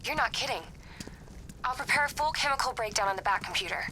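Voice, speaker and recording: A woman playing a brisk, capable tech ally, efficient and earnest. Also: a little high, lively intonation, pushed and bright, a little hiss or hum, poor audio. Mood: concerned, helpful.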